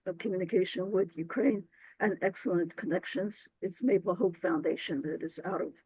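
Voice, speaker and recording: A woman presenting a grant application to council, her voice hoarse and scratchy today, talking earnestly and restarting phrases as she goes. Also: lowish voice, moderate pace, warm tone, no echo.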